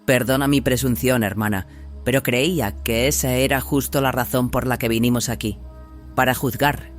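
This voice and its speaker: Warrior nun second-in-command, acted by a man, terse, suspicious, middle pitch, audio drama, clear music. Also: fairly even intonation, normal speed, natural voice. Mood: disapproving. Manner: terse, distrustful.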